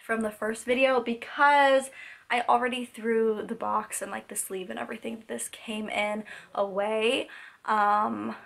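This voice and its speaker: A young woman vlogger with a voice gone hoarse from a cold. She chats casually and rambles, filling her talk with "like" and "um" and restarting her sentences as she walks through a makeup look. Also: a little high, fairly even intonation, measured pace, fairly bright tone. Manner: chatty, rambling, many fillers and restarts. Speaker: beauty vlogger. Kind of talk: casual makeup tutorial chat. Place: home.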